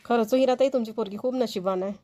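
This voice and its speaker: Woman playing visiting sister-in-law, cartoon villager, Vidarbha Marathi accent, polite, fairly high, fast-talking. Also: mellow, natural voice. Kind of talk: amateur cartoon dialogue acting.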